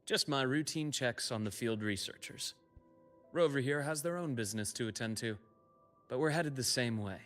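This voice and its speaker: A man's voice: medium pitch, moderate pace, fairly bright tone, soft background music, lightly acted. A man playing a kindly, easygoing middle-aged academy doctor in a video game. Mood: casual, teasing.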